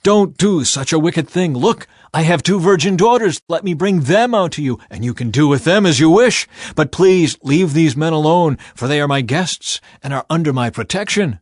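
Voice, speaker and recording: A man's voice: fairly high pitch, lively intonation, moderate pace, few pauses, dry recording, clean studio sound, pitched above the performer's own voice. A man playing an anxious, hospitable townsman who speaks urgently and beseechingly in a scripture audiobook. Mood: urgent, begging. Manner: urgent, begging.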